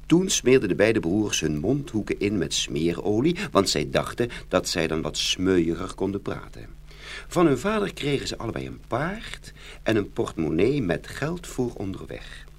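Very animated male fairy-tale storyteller. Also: deep voice, moderate pace, gravelly. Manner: expressive storytelling. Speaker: narrator. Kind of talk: scripted fairy-tale narration.

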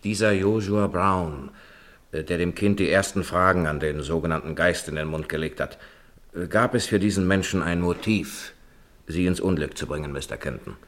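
An actor playing a sober, probing gentleman investigator in a radio play, formal and measured, pausing as he thinks. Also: sits low. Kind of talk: German radio play acting.

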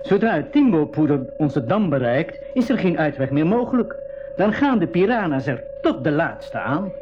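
Confident expedition leader, dull noisy recording. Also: male voice, a little high, big pitch swings, moderate pace. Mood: grave, confident. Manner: assured, explanatory. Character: William, confident, reassuring male expedition leader. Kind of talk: 1980s school radio drama acting.

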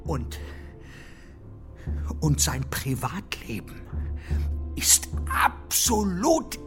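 A man acting a forceful, scornful revolutionary politician in a radio drama, emphatic and rhetorical. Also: on the high side, big pitch swings, drawn-out words, soft background music, full character voice. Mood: indignant. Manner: rhetorical, emphatic, swinging from hushed to loud.

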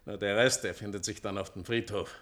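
An actor playing a gruff, bossy gatekeeper with grim humour and a curt, officious manner in a radio play. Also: male voice, lowish voice, steady tone, brisk, a bit bright, natural voice. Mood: officious, sardonic. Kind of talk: German radio play acting.

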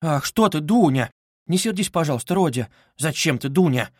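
A man's voice: high voice, expressive, fairly quick, no echo, pitched above the performer's own voice. An anxious older mother, acted by a male reader, tender and easily flustered. She gushes and placates, as in a dramatised audiobook novel. The recording is dry and close.

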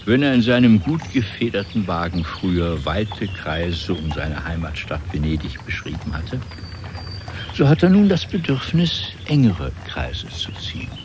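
Deep-voiced male radio-drama narrator. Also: very animated, a little slow, a little mellow, a little hiss or hum.

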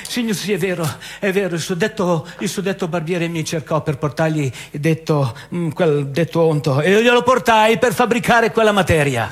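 A man playing a cowed, pleading accused prisoner in a stage drama, husky, halting and submissively repeating himself. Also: high voice, lightly acted. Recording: reverberant stage hall with music.

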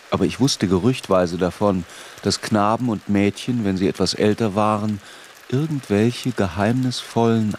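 A man's voice: on the low side, slight background noise, natural voice. A man playing an adult narrator in a radio play, looking back on a tormented boyhood in literary, introspective narration.

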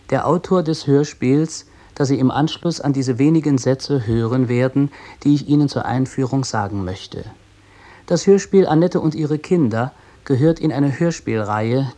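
A man reading a scripted introduction as an author, in a formal, measured, academic manner. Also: medium pitch, mellow. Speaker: author introducing his radio play. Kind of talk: scripted introduction read aloud.

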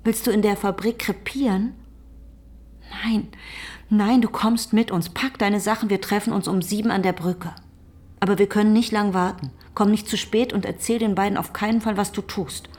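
An actress playing a determined farm woman in a radio play, decisive and urging, in short sentences with a husky, breathy voice. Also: mid-range voice, steady tone, moderate pace, a little mellow, natural voice. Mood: pressing. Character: determined, urgent farm woman planning secret escape. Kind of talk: German radio play acting.

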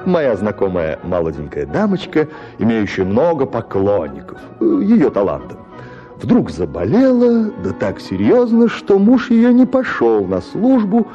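Ironic, expressive male narrator. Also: mid-range voice, muffled recording. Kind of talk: scripted literary narration.

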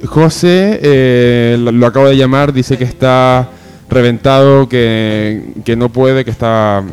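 A man's voice: mid-range voice, fairly even intonation, slow pace, darkish tone, clean tone. Young man hosting college radio. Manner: rambling, self-correcting, joking. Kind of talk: casual chat.

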